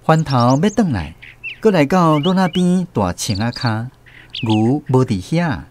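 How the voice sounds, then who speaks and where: A man's voice: mid-range voice, big pitch swings, a little slow, noisy background. A man playing a storyteller who recalls his naive country boyhood, narrating a radio drama with a Taiwanese accent. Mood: reflective.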